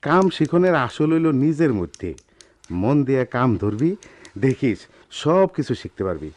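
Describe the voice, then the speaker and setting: A man's voice: mid-range voice, sing-song, normal speed, a little mellow, natural voice. Actor as a patient old-school master craftsman, swooping intonation. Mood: earnest, humble. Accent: Bangladeshi, Dhaka-area dialect. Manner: earnest, avuncular, advising. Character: patient, humble ageing master goldsmith and gentle father. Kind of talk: radio drama acting.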